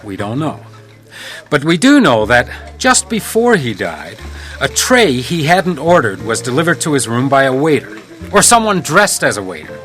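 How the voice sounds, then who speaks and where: World-weary house detective, expressive delivery. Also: male voice, medium pitch, normal speed, faint music behind. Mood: probing. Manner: probing, wry, hardboiled. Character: composed, world-weary hotel house detective, shrewd and guarded. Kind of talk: radio drama acting with first-person noir narration.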